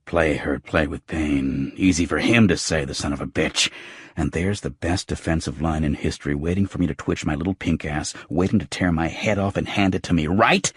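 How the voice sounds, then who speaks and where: Man voicing a muttering, husky, crude cab driver. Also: a bass voice, normal speed, no room sound, clean studio sound. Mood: bitter grumbling. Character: rambling bitter cab driver, a grunting ex-football man.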